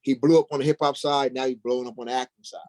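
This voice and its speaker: A man speaking as a guest in a casual interview, halting a little as he thinks aloud. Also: mid-range voice, steady tone, a little mellow, dry recording. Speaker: interview guest, stage actor.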